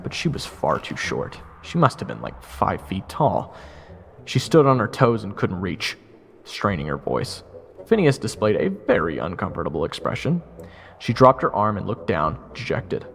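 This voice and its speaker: A man's voice: lowish voice, very animated, normal speed, gravelly, in a large hall, slight background noise. A man playing a wisecracking, easily flustered jester who narrates his own story in an audio drama. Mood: narrating. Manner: casual, wry, awkward. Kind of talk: first-person audio drama narration and dialogue. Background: music.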